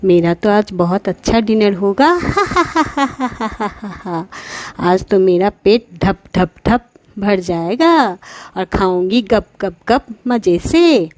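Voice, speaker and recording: A woman's voice: expressive, drawn-out words, no room sound. A woman voicing a gleeful, greedy witch in a children's cartoon, gloating in a villainous manner. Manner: gloating, with high-pitched cackles. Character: gleeful greedy witch eager to cook her captives.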